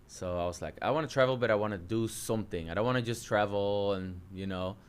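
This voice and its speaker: A Dutch man in his thirties, his English carrying a Dutch accent and his voice low and smooth. He tells his story in a relaxed, chatty way, full of fillers and restarts, like a coach at ease in an interview. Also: fast talker. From Netherlands. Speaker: jiu-jitsu coach and interview guest.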